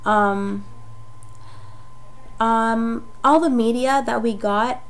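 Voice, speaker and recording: A young woman from Jamaica, raspy from a cold, telling her personal story in a chatty, rambling way with many fillers. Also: a little high, extremely slow. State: getting over a cold. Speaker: vlogger. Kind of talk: casual personal testimony to camera.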